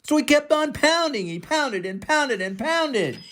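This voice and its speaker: Older man reading slowly with swooping pitch. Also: very animated, fairly bright tone. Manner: dramatic, deliberate, drawn-out emphasis. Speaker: storybook reader for children. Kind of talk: expressive children's picture-book read-aloud.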